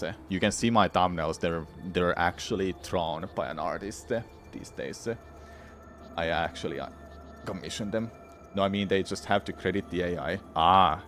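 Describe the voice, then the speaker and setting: A Finnish man with a very low, deep voice talks frankly with his stream viewers, rambling, restarting his sentences and cursing without a second thought. Quiet game music hums behind him. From Finland. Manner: rambling, frank, swears freely, many restarts. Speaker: gaming streamer. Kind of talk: casual chat with viewers during a game playthrough.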